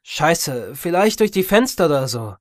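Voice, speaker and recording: A man's voice: a little high, very animated, normal speed, no echo, studio quality, voice pushed up. Agitated, cursing trapped man, acted by a narrator. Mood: alarmed. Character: trapped young man, alarmed, angry, cursing at his friend.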